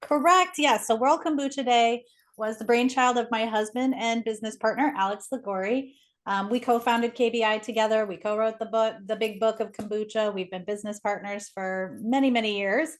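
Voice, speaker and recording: A woman's voice: on the high side, moderate pace. A woman speaking as an expert interview guest, explaining things conversationally in an articulate, enthusiastic way.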